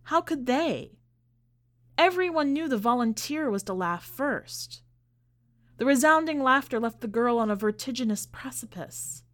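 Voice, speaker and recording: A woman podcast host narrating and commenting on literary fiction in a measured, expressive manner. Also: a little high. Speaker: podcast host and story reader.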